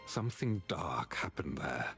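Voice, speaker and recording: An actor playing an earnest, dutiful knightly warrior in a fantasy video game, speaking formally and gravely. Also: male voice, a bass voice, very animated, measured pace, a bit bright, faint music behind, heavily acted. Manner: formal, declamatory.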